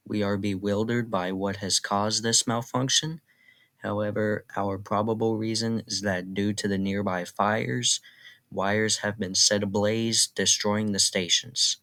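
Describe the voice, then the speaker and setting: A synthetic-sounding man reading an automated weather radio bulletin in an impersonal, mechanical way. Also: on the low side. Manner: flat, impersonal, synthetic delivery.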